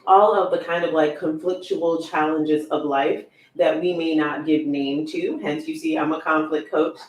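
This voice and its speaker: A woman's voice: low-pitched, fairly even intonation, normal speed, far from the mic, a little hiss or hum. A woman hosting a podcast as a conflict coach, chatting casually, with a strained voice that keeps giving out. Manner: chatty, rambling, with many fillers. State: losing her voice. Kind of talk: casual livestream podcast chat. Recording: echoey room, voice off-mic.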